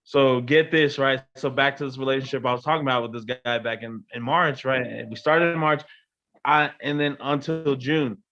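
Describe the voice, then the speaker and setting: A man in his twenties, a podcast guest, telling a personal story in a casual, animated way, often checking in with the listener. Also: mid-range voice, normal speed, lo-fi sound. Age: 20s. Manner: animated storytelling, frequent "you know what I mean". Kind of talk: casual chat.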